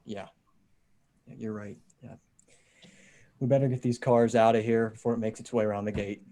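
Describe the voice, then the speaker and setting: A man playing a steady, decent small-town deputy and survivor in a casual dramatic table read over a video call. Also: lowish voice, normal speed, natural voice. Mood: calm, reassuring. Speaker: actor in an amateur table read. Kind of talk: dramatic script reading.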